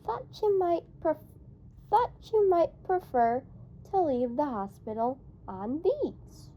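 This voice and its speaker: Storybook role: kindly male therapist read by a woman, coaxing, very slow, raised pitch, mellow tone. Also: very animated, dull audio, a little hiss or hum, exaggerated voice. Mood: encouraging. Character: friendly, encouraging male physical therapist in a novel.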